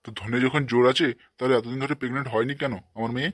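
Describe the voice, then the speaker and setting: A man's voice: mid-range voice, fairly even intonation, very fast, fairly bright tone, no echo, natural voice. A man voicing an indignant, blustering older father-in-law in a cartoon comedy.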